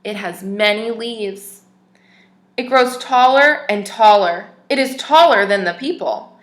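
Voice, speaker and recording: A woman teacher reading a picture book aloud to young children, expressive and stopping to ask them questions. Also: mid-range voice, slow, roomy sound.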